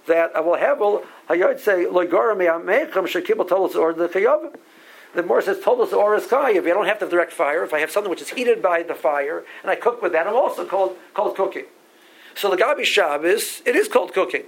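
A man's voice: high voice, lively intonation, fast talker, breathy edge, low-quality recording. A man from Barbados giving a halachic lecture, often repeating words as he works through sources and reading out Hebrew legal terms. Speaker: rabbi teaching a Talmud class.

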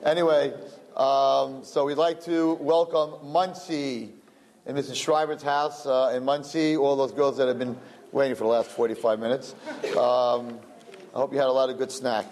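Brooklyn-accented male host, chatty and rambling, self-joking, mid-pitched voice. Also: fairly even intonation, normal speed, darkish tone. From Brooklyn, USA. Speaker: event host. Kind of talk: live-streamed announcements.

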